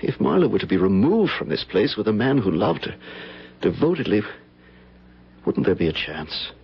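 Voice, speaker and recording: An actor playing an earnest, devoted suitor, pleading ardently in old-time radio drama style. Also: male voice, fairly low pitch, sing-song, normal speed, creaky, breathy edge, exaggerated voice. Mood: earnest, determined. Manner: ardent, insistent declarations. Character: earnest, devoted man pleading for his beloved. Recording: dull vintage radio broadcast recording.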